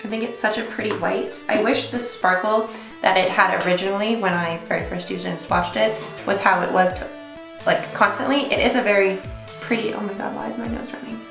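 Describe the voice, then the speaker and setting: A woman's voice: medium pitch, fairly even intonation, a little fast, creaky. A young woman giving a casual, chatty beauty tutorial in an effusive, rambling way, as host. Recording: roomy echo, music behind. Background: music.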